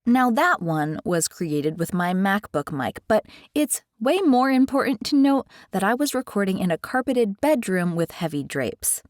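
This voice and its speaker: Brief: animated American woman, dry close sound, tutorial host. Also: medium pitch, a little slow, few pauses, no echo. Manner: chatty, animated, curious. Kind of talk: casual explainer. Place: carpeted bedroom. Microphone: headset mic.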